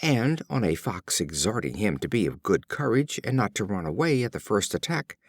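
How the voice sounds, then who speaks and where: A man narrating short fables as an audiobook reader, in a measured, expressive storytelling style. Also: on the low side, dry recording, clean studio sound.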